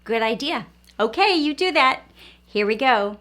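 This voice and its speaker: A woman's voice: on the high side, animated, fairly bright tone. A woman hosting an early learning lesson, speaking to small children in simple, encouraging phrases and questions. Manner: encouraging, asks questions and pauses for young viewers. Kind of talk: children's lesson and storybook read-aloud.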